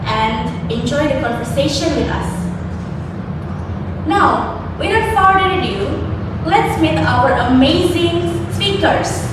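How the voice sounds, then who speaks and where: High-voiced woman hosting in an echoey hall. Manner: reads a prepared script with a singsong jingle. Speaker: talk show host. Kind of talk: scripted talk show hosting. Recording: echoey hall from far off with loud noise.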